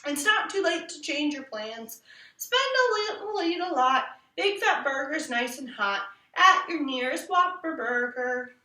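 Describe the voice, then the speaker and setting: A woman's voice: sits high, animated, measured pace, sharp tone, heavily acted. Chirpy, eager advert kid, played by a woman storyteller, exaggerated swooping sing-song, distant roomy sound. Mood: sing-song, perky. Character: eager, chirpy little children in television commercials. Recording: roomy echo, distant from the mic.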